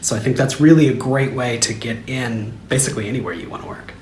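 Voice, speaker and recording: Man, American accent, upbeat, lively storyteller, echoey room, faint background hum. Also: mid-range voice, quick pace. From United States. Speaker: product manager. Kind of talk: casual testimonial.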